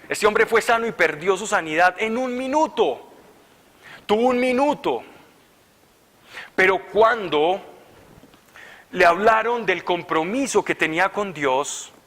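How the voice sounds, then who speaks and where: A man preaching in an animated, storytelling way, with a forceful, bright voice set a bit high. He sounds like he is at a retreat, and his voice rings out with a long echo across a large hall. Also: unhurried, long pauses. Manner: animated, conversational, laughing at his own stories. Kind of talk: sermon with storytelling.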